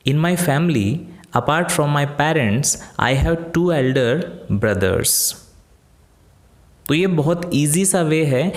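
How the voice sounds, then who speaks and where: A man with an Indian accent teaching English step by step, methodically repeating example phrases slowly and deliberately. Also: a little high. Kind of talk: instructional lesson, explaining in steps with examples.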